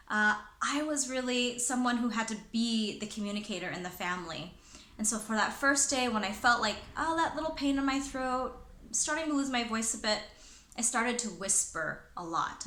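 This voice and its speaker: Bright-voiced woman giving chatty advice. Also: medium pitch, echoey room. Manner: chatty with many fillers and restarts. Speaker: presenter and trainer. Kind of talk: casual talking-head advice.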